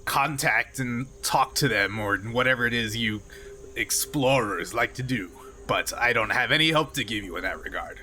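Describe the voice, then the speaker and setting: A man playing a blunt, dismissive Klingon, bright voice. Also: medium pitch, moderate pace, a little hiss or hum. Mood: dismissive, sardonic. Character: gruff, dismissive Klingon mine worker, alien warrior.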